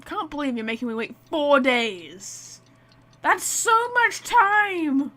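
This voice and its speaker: A woman's voice: sits high, very animated, takes it slowly, bright, forceful tone. A woman giving casual, chatty live game commentary, reacting aloud and talking to her chat. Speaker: game livestreamer.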